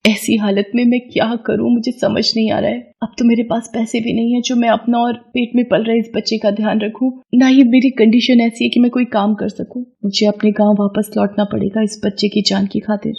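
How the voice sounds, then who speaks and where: A woman's voice: on the high side, flat delivery, a little fast, creaky, few pauses, warm tone, slightly rough, muffled recording, pitched above the performer's own voice. A woman voicing a troubled, self-sacrificing young village woman in a dramatised moral story, plaintive and earnest. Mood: helpless, sad.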